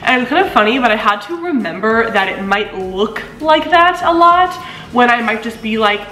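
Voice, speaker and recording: A woman in her twenties, a vlogger chatting casually as she reads out comments, with a deeper voice for a woman, laughing often and swooping up in pitch. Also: lively intonation, moderate pace, sharp tone. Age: 20s. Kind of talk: casual chat reading comments. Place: living room of an apartment. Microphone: camera mic at a few feet. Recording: echoey room.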